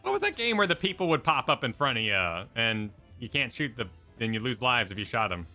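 A man in his thirties co-streaming a game from home, animated, reading game text aloud. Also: medium pitch, a little fast. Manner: animated, reads on-screen text aloud, racks his memory. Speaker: streamer co-host. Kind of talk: casual co-op game chat. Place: home room with bookshelves. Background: faint game music.

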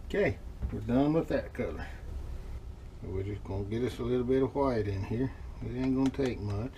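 A middle-aged man talks his way through a job at a workshop bench in a laid-back, folksy manner. His voice sits at an ordinary pitch. The recording picks up steady workshop noise and airbrush spray in the background. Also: moderate pace. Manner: folksy, relaxed running commentary while working. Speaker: lure painter demonstrating. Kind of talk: casual how-to demonstration.

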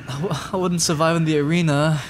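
A man's voice: fairly high pitch, steady tone, fast, slight background noise, natural voice. A man voicing a timid, adorkable young dragon in a roleplay audio drama, stammering, hesitant and rambling. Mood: panicked, pleading.

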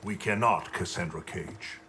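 Low-voiced, grave ancient god. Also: male voice, measured pace, sharp tone. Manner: solemn, formal. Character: grave, ancient male thunder god protector. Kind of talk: video game cutscene acting. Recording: clear ambient noise behind.

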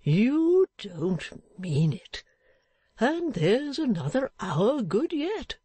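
Kindly old church man, done by a female narrator, stout, chatty, very slow, swooping intonation, storybook character. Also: on the high side, big pitch swings, dark tone, breathy edge, dry recording, pitched above the performer's own voice, full character voice. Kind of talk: dramatized audiobook reading of a classic novel.

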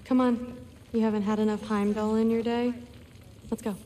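A woman's voice: fairly high pitch, flat delivery, normal speed, dark tone, long reverb tail, slight background noise, lightly acted. A young woman playing a friendly, eager, proud teenage girl warrior in training in a video game, talking in a casual, teasing way. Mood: friendly, confiding. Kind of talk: video game cutscene acting.

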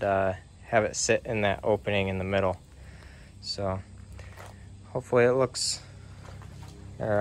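A man casually walking through his trailer build outdoors, talking slowly with lots of ums and uhs. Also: fairly low pitch, clear, resonant tone. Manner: slow, relaxed, many ums and uhs. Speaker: DIY sawmill builder. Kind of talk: casual workshop walkthrough.

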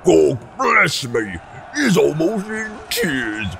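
A man voicing a rowdy, excitable fight spectator, exuberant and shouting, in game character style. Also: a little high, slow, gravelly, background noise, pitched above the performer's own voice, full character voice. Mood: excited.

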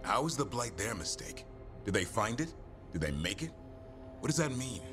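An actor playing a serious, probing companion in a fantasy video game conversation. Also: male voice, medium pitch, very animated, moderate pace, close to an everyday voice. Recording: dialogue under clear background music.

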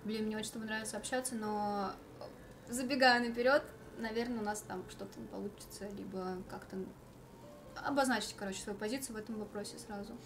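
A woman's voice: medium pitch, fairly even intonation, fast, slight background noise. A young woman on a home stream, talking earnestly and giving advice about relationships. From Russia. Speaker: stream co-host. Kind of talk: casual chat. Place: living room, indoors.

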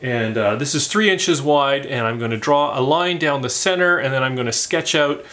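A middle-aged man from Canada hosting a woodworking channel, explaining a small craft project in a relaxed, chatty way with plenty of "uh"s and "um"s, in his home workshop. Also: medium pitch, normal speed. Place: home woodworking shop, indoors.

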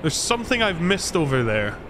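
Scottish guy rambling to chat while he plays, slightly high voice, some noise in the background. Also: sing-song, a little fast. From Scotland. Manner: rambling, self-deprecating, talks to chat while playing. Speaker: streamer. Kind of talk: casual gameplay livestream chat.

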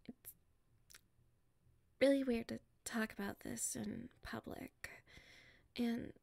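A woman playing a nervous popular schoolgirl with a secret crush in an ASMR roleplay, softly breathy and halting. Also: on the high side, no room sound, exaggerated voice. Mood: flustered, nervous. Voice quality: soft and breathy, often near a whisper. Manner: hesitant, halting, shyly pleading.

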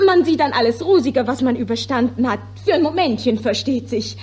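A very high-pitched stage diva in an old 1940s German radio play. She is effusive and polite, quick to protest and quick to defer, flustered in her manners. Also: animated, darkish tone, breathy edge, faint music behind. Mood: eager to please. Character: flustered, appeasing Viennese stage actress back from Russia.

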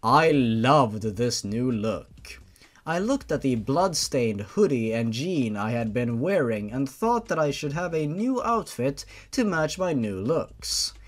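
A man's voice: mid-range voice, sing-song, slow. A man reading fan fiction aloud as the host of a series, in a dramatic, mock-serious storytelling manner.

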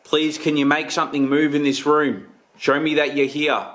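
A man's voice: fairly high pitch, fairly even intonation, moderate pace, a bit bright. A man in his thirties narrating a ghost-hunting vlog on the spot and calling out to spirits as a paranormal investigator. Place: inside an empty, derelict house. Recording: noisy handheld camera audio with clicks.

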